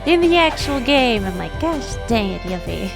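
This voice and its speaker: A woman livestreaming a video game, chatting casually with viewers and reacting in an animated, giggly way. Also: fairly high pitch, moderate pace, gravelly. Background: video game music.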